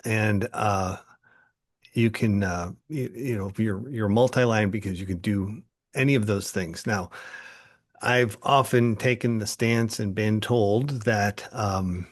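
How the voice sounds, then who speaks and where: Male podcast host, lowish voice, relaxed chat, stops and starts with fillers. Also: slow. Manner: hesitant, with many ums and uhs.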